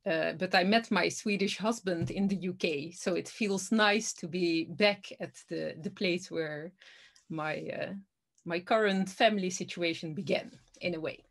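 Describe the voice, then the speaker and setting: A woman's voice: fairly low pitch, measured pace, creaky. A woman in her thirties from the Netherlands, with a Dutch accent, giving an academic presentation over a video call. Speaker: guest lecturer, law academic. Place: book-lined room, indoors. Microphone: video call, earphones with inline mic.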